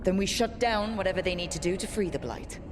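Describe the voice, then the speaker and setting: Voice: mid-range voice, fast, noisy background, natural voice. An actor playing a determined, blunt team leader in a fantasy video game, direct and plain-spoken. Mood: resolute. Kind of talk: video game cutscene acting.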